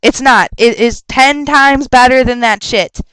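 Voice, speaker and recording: A young woman rambles through viewer questions in a dry, close webcam recording. Her voice sits fairly high and stays smooth even though she is stuffed up from a cold. She chats loosely and slides into rants. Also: no room sound, poor audio. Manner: chatty, rambling, quick to laugh and rant. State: stuffed up with a sore throat. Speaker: vlogger answering viewer questions. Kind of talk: casual rambling webcam vlog.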